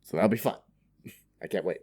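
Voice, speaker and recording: A man's voice: deep voice, normal speed, mellow. A man co-hosting a podcast, chatting casually about video games in a jokey, slangy, giggly manner. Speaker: podcast co-host. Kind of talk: casual video game chat.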